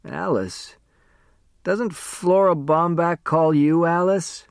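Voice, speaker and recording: A man's voice: fairly high pitch, takes it slowly, vocal fry, smooth, dry recording, pitched above the performer's own voice. Guy doing a weak old man in his eighties on his deathbed, nostalgic n teasing, storybook style, very dry sound. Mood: wistful, curious. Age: 80s. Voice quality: weak, faint. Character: frail, dying 85-year-old man, wistful and teasing.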